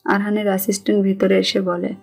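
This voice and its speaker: A woman's voice: medium pitch, flat delivery, fast, gravelly, a little mellow. A woman with a Bangladeshi accent reading scripted narration of a romantic story. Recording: music under the voice.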